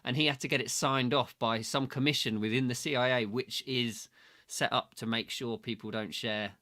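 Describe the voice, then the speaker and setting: A man hosting a casual interview, chatty and quick to laugh. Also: mid-range voice, steady tone, bright, forceful tone. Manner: chatty, laughs easily, says "mate". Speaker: podcast host.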